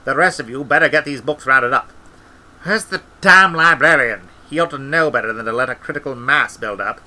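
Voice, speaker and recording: A man's voice: medium pitch, fairly quick, pushed and bright, poor audio. A man voicing a brusque senior wizard in a storybook, grumbling and bossy. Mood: bossy, irritated.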